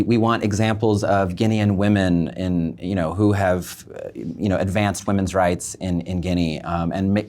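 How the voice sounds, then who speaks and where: A man speaking as an interview guest, explaining things in a measured way and often pausing mid-thought. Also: low voice, darkish tone. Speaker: guest, embassy public affairs officer. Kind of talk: thoughtful online interview answers.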